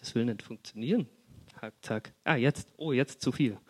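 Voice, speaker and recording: A young man with a Swabian accent preaching in a church hall, talking in a relaxed, chatty way with many fillers and restarts. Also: medium pitch, normal speed, breathy edge. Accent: Swabian German. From Swabia, Germany. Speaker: guest preacher. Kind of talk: casual sermon with personal stories.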